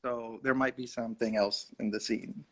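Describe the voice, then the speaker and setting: A young man, an actor and native Urdu speaker, telling stories in a lively way during an interview. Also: mid-range voice, moderate pace. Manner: animated, acts out voices in his anecdotes. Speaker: actor, guest. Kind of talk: casual storytelling in an interview.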